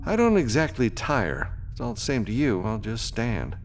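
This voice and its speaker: Man voicing a tough, wry woman, pitched up. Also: huge pitch swings, normal speed, faint music behind. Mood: confident. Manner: dry, self-assured. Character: tough, confident, wry female armored bounty fighter. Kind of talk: audiobook character voice.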